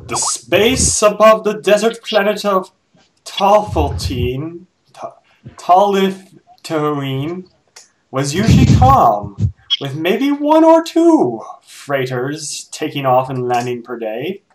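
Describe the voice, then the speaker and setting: Man dramatically reading a story, wide-swinging pitch. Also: big pitch swings, slow, low-quality recording. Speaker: fan fiction reader. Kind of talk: dramatic amateur story narration. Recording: amateur recording with hiss and clicks.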